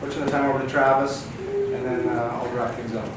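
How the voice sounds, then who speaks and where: A man introducing a church lesson, earnest and halting, often repeating his words. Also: medium pitch, level tone. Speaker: bishopric member leading a church lesson. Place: church meeting room. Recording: echoey hall, distant mic, noisy.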